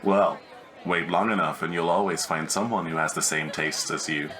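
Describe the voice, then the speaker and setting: A man's voice: sits low, fairly even intonation, normal speed, sharp tone, some chatter behind. A man acting an affable character in a light comic audio drama. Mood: smooth, friendly.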